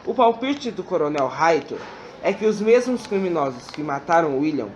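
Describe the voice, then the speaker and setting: Brazilian guy narrating a school radio play, reads it out carefully, voice pretty high, faint hiss. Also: sing-song, moderate pace, highs cut off. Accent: Brazilian Portuguese. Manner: careful read-aloud delivery. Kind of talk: reading narration in a student radio drama.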